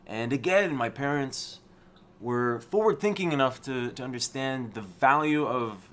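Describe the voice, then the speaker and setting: A man's voice: mid-range voice, unhurried. A man with an American-schooled English accent hosting his channel, talking casually and conversationally from his office. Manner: relaxed, conversational, with restarts. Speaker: channel host, entrepreneur. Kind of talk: casual talk to camera.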